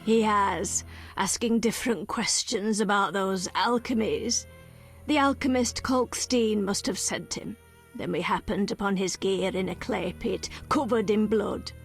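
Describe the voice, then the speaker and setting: An actress playing a solemn, cryptic female village elder in a video game, speaking in measured, archaic phrases. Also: mid-range voice, soft background music, natural voice. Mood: solemn, wary.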